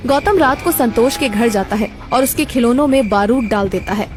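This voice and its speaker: A woman's voice: on the high side, moderate pace. A woman telling a scripted cartoon story as its narrator. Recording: background music throughout.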